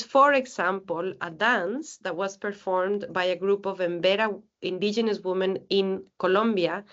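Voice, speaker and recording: A woman's voice: medium pitch, lo-fi sound. A woman from Ecuador giving an earnest, reflective academic seminar talk, often restarting her phrases.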